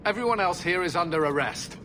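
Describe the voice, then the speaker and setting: A man's voice: high voice, expressive, fairly quick. A man playing a stern, authoritative knight captain in a fantasy game, formal, skeptical and commanding. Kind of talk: fantasy video game acting. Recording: clear ambient background noise.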